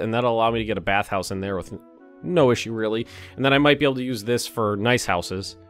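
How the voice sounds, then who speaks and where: Slightly hoarse man muttering through gameplay. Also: mid-range voice, fairly bright tone. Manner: thinking aloud, muttering, mild cursing. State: a little hoarse. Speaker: let's play host. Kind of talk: casual gameplay commentary.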